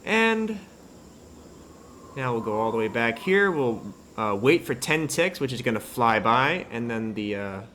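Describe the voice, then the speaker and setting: A man giving a casual, offhand software tutorial, thinking aloud as he works. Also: medium pitch, big pitch swings, unhurried, slight background noise. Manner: informal, loose and offhand, occasional swearing. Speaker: host of a game modding tutorial. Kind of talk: casual screen-capture tutorial, thinking aloud while working.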